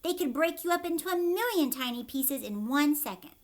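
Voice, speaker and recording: A woman voicing an excitable, bossy male chocolate inventor in a children's read-aloud, exclaiming emphatically like a showman. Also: high-pitched, fairly quick, pitched above the performer's own voice. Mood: urgent, bossy.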